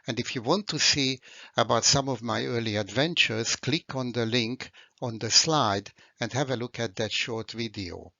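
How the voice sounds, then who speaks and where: A man's voice: mid-range voice, normal speed, vocal fry, bright, forceful tone, dry recording. Older Hungarian-accented male lecturer, formal and explanatory, slightly dull dry recording. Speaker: university lecturer. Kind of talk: recorded lecture narration over slides.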